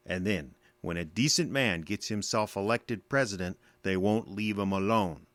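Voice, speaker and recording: An actor with an American accent playing a bitter, defensive middle-aged army veteran in an audio drama, gruff, sardonic and curt. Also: male voice, lowish voice, normal speed, fairly bright tone, dry recording, lightly acted. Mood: bitter, argumentative.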